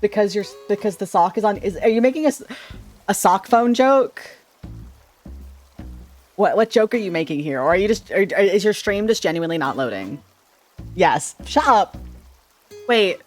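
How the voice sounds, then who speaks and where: A man chatting casually on a livestream with a slightly shrill, sharp-sounding voice, rambling playfully and tripping over his words. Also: animated, moderate pace, long pauses, soft background music. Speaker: streamer. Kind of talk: casual livestream chat with viewers. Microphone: desk microphone.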